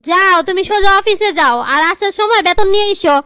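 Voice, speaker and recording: A woman's voice: very high voice, brisk, pushed and bright, no room sound, clean studio sound. A woman voicing a bossy, money-grabbing young cartoon wife with a commanding, nagging manner and a Bangladeshi accent. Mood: bossy, scolding. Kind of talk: comedy cartoon dialogue.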